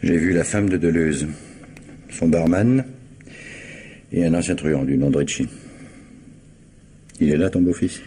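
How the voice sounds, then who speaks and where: An actor playing a calm, firm middle-aged police detective with a measured, ironic authority in a French mystery radio drama. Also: male voice, low voice. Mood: probing, composed. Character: calm, firm male police detective, a worried woman's ex-husband. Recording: noisy vintage radio drama recording.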